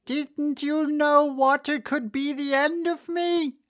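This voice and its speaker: A man voicing a wicked old witch woman with a shrill, wailing screech. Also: measured pace, pushed and bright, full character voice.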